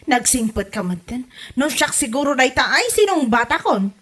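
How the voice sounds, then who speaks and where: A woman's voice: high-pitched, expressive, normal speed, a bit bright. A woman playing a nosy, sympathetic friend in a comedy drama, questioning gossipily with a northern Philippine accent. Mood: sympathetic, prying. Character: nosy, sympathetic female friend of a young wife. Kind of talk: comedy radio drama acting.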